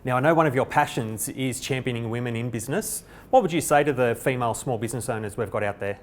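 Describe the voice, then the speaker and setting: A man's voice: medium pitch, fast talker. A man hosting a corporate interview, putting prepared questions to a guest. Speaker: interviewer, host.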